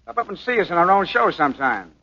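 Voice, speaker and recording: A sneering, jealous dance partner who taunts and bosses people around in hard-boiled slang. His voice sits fairly high and swings widely in pitch. The sound is dull and lo-fi, like an old film soundtrack. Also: big pitch swings, fast, pushed and bright, exaggerated voice. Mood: taunting. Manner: tough-guy slang, taunting. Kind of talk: 1940s film acting.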